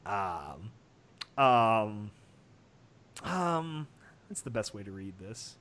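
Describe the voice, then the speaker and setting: A man hosting a livestream, chatting casually in a halting, joking way. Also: lowish voice, big pitch swings, fairly bright tone. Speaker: livestream host and reader.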